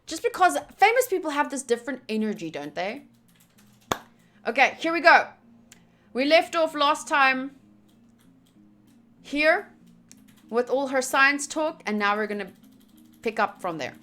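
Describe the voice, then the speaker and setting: A woman's voice: sits high, lively intonation, unhurried, long pauses, pushed and bright, faint music behind. A woman chatting casually on a livestream as she plays and reads along with a game, in a rambling, playful way. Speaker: streamer.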